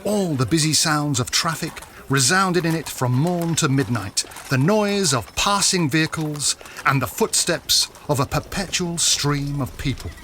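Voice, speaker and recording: Bright-voiced man narrating with solemn drama. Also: a little high, a little hiss or hum. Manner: solemn, measured, theatrical. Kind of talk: dramatic scripted narration of a period story.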